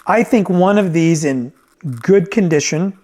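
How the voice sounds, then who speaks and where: A man's voice: high voice, a little slow, warm tone. A man giving a casual, off-the-cuff product review as a reviewer and repairer, conversational and thinking aloud as he goes.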